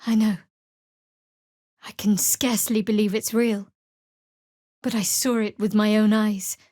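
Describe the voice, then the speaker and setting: An actress voicing a guarded, sardonic young woman cleric in a video game, with a dry, wry and measured delivery. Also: mid-range voice, little pitch movement, mellow, dry recording, close to an everyday voice. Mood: troubled, earnest. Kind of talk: video game character voice acting.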